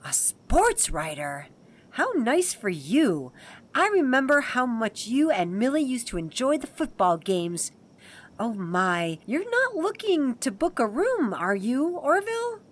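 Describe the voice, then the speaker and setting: A woman's voice: high voice, very animated, a little slow, heavily acted. An actress playing a fussy, doting middle-aged mother in a 1920s audio drama.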